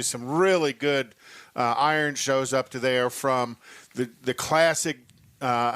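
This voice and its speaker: A man co-hosting a radio show, reading out local car events in a relaxed way with many fillers. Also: fairly high pitch, smooth, no echo. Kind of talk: casual radio chat, reading event listings.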